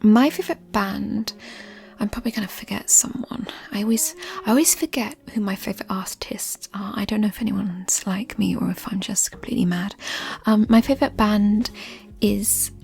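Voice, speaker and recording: A woman speaking in a soft, breathy, often near-whispered voice, chatting gently and ramblingly in an ASMR style. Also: mid-range voice, moderate pace. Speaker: ASMR creator answering listener questions. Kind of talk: soft-spoken ASMR chat. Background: music.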